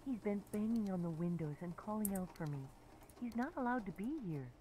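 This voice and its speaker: A woman's voice: mid-range voice, expressive, brisk, dark tone, lightly acted. A woman voicing a panicky mother making an emergency call in a horror game. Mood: anxious. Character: frightened, panicky mother calling police. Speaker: emergency caller character. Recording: noisy emergency phone call.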